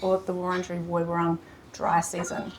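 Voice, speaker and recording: A woman's voice: lowish voice, monotone, a little fast. Formal woman speaker, faint background noise. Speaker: event host giving acknowledgement of country. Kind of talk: prepared formal speech read to an audience. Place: seminar room with audience.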